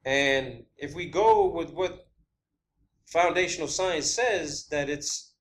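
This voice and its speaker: A man hosting an informal theorizing monologue, speaking in a halting, repetitive and emphatic way with blunt wording. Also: fairly high pitch, fairly bright tone. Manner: blunt, swearing. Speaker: video host.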